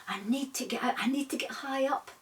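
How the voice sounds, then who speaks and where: A woman's voice: sits high, recorded from a distance, pitched above the performer's own voice, close to an everyday voice. Woman doing a fretful little Scottish fly. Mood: anxious. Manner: breathless, hurried, stammering. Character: small, greedy, overfed male fly.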